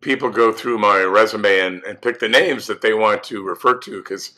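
A man in his sixties from California, hoarse from a cold, telling relaxed stories as an interview guest over a video call from his music room. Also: lowish voice, normal speed. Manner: relaxed, storytelling. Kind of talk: casual interview. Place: home music room with guitars.

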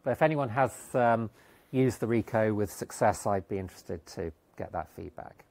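A man's voice: lowish voice, normal speed, creaky, a little mellow. A middle-aged man giving a technical talk in a lecture hall, speaking with many ums and restarts. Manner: hesitant, many fillers and restarts. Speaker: conference speaker. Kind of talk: technical conference talk with slides.

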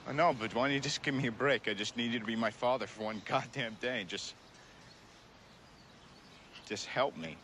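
An actor playing a troubled, weary grown son in a naturalistic film drama, speaking in a halting, subdued, earnest way. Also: male voice, medium pitch, fairly bright tone, slight background noise, close to an everyday voice. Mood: pleading.

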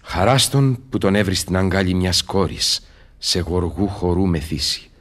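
An actor playing a weary, bitter, commanding old scholar in radio theatre, speaking verse in a grave, declamatory way. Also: male voice, deep voice, lightly acted. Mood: bitter, world-weary.

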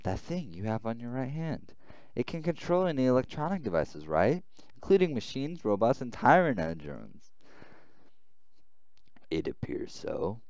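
A man's voice: medium pitch, big pitch swings, measured pace, darkish tone, no echo, dull audio, pitched above the performer's own voice. A man voicing a calculating, persuasive male rebel soldier in a visual novel game, coaxing as he talks.

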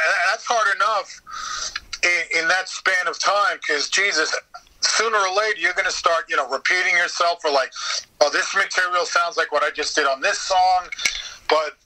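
Bright, sharp-voiced man chatting casually. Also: high voice, moderate pace, low-quality recording. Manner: animated storytelling, quoting exchanges, mild swearing. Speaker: podcast guest, voice actor. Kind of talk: casual interview chat.

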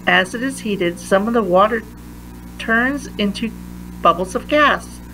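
A woman reads a picture book aloud for children at a slow, careful pace. She pauses between phrases, her voice sitting at a medium pitch. A faint hum of noise runs behind her. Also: sharp tone, rough recording. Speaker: read-aloud reader.

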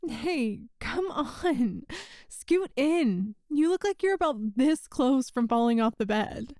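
Woman acting a high-voiced, playfully teasing young woman. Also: animated, slow pace, a little mellow, no echo, studio quality. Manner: teasing, giggly, conversational. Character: playful teasing young woman, best friend's older sister. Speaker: audio roleplay voice actor. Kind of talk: intimate ASMR-style audio roleplay, one side of a conversation.

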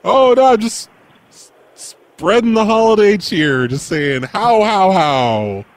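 A man doing prank phone calls as a mall Santa, in a deadpan, crude, mock-serious way. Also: sits high, slow pace. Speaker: prank call host.

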